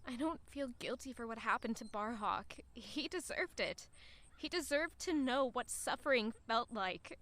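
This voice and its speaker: A woman's voice: fairly high pitch, a bit bright. An actress playing a guarded, introspective young woman traveler in an audio drama. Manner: introspective, polite, hesitant with strangers. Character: guarded, conscience-troubled young female traveler. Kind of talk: audio drama acting with narration.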